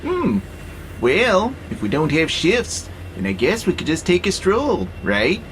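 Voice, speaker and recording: An actor playing a gentle, philosophical freight robot in an audio drama, calmly persuasive and playfully sarcastic. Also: male voice, a little high, unhurried, a little hiss or hum. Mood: wry. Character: gentle, wry, philosophical male freight-hauling robot, peaceable.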